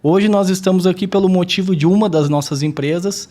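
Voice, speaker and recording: A man, a business guest on a podcast, introducing himself in an earnest, measured, somewhat formal way. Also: high voice, dark tone. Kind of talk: self-introduction in podcast interview.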